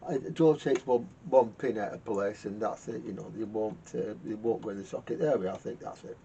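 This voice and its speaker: A man giving casual hands-on repair commentary in a halting way, muttering to himself and repeating words. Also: creaky, dark tone. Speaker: vintage radio repairer hosting a workshop video. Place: garage workshop. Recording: muffled lo-fi sound with rumbling noise.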